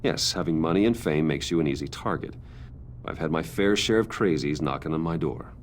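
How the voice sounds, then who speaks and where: A guarded, low-voiced wealthy businessman. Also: animated, fairly quick, slight background noise. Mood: guarded, composed. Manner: guarded, terse, defensive. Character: guarded wealthy businessman with a secret hero life. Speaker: voice actor in a story video game. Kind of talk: dramatic game dialogue. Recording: dialogue over clear background music.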